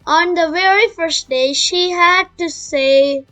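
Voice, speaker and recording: A high, female-sounding young reader reading a children's book aloud haltingly, with chatty asides. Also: pushed and bright. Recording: music playing behind.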